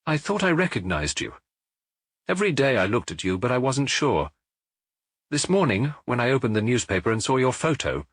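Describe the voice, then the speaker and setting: Man playing a merry, husky ex-pickpocket, lively and chatty. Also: mid-range voice, moderate pace, no room sound, studio quality, voice pushed up. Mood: proud, chatty. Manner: jovial, garrulous, familiar. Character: merry, chatty ex-pickpocket, a reformed petty crook past his youth.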